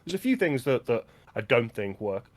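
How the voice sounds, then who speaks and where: Guy chatting about movies on a podcast, medium pitch, opinionated and analytical. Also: sing-song, normal speed. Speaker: film podcast co-host. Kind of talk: casual film discussion.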